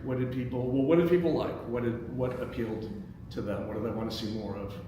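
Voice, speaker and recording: A man's voice: medium pitch, fairly even intonation, fast. Echoey man hosting a table read. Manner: hesitant, repeats words while thinking aloud. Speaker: host and stage-direction reader of a screenplay table read. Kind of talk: reading action lines and giving feedback to a group. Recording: very echoey hall, distant mic, noisy.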